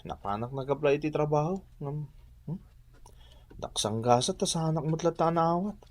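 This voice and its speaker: A man's voice: fairly high pitch, lively intonation, normal speed, natural voice. An actor playing an ambitious, proud young man in a radio drama, speaking with an Ilocano accent. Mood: downcast, weary. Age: young adult. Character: ambitious, proud young son scorning his poor village.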